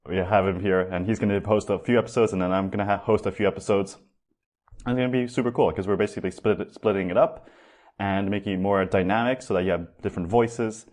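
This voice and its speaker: A man's voice: fairly low pitch, fairly even intonation, fast, a little mellow. A young man hosting a podcast, chatting casually and upbeat, with a loose, swearing-now-and-then manner. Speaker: podcast host.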